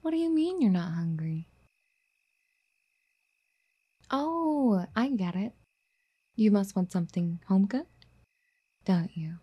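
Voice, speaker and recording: Woman acting as a soft, husky yandere girlfriend. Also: medium pitch, lively intonation, measured pace. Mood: coaxing, deflated. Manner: cooing, coaxing pet-name sweet talk. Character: doting, obsessive, clingy yandere girlfriend, wealthy and apologetic. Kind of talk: ASMR girlfriend roleplay, soft and half-whispered.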